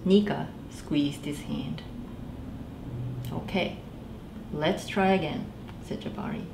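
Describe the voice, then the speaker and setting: Librarian lady reading a kids book slow and lively, lowish voice, echoey little room. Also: gravelly. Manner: animated, voices characters, addresses children directly. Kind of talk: children's storytime read-aloud. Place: small library room indoors. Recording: echoey room with faint background noise.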